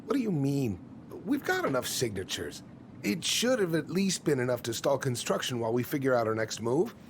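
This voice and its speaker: An actor playing an evasive, folksy middle-aged small-town man in a naturalistic video game drama, with a creaky voice. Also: mid-range voice, very animated, fairly quick, a little hiss or hum. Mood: harried, businesslike.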